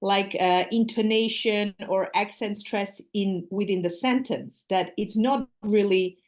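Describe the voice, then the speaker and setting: A woman's voice: mid-range voice, a little slow. A woman from Armenia with an Armenian accent, an English teacher chatting over a video call and explaining at length with fillers. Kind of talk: casual video call conversation.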